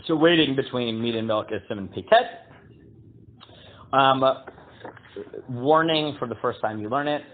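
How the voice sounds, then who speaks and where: A man leads a casual Torah class in a booming voice. He halts and restarts often, reading Hebrew text aloud before translating it. The sound is thin and tinny, like a phone line. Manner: halting, many fillers and restarts. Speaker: rabbi teaching a class.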